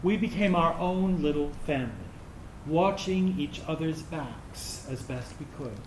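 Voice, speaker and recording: Anguished transgender veteran, played by a man, higher male voice, slow measured monologue, roomy recording. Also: darkish tone, close to an everyday voice. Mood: pained, earnest. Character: anguished transgender veteran recounting years of hiding. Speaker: actor in a staged reading. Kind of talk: dramatic monologue reading. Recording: roomy, noisy live stage recording.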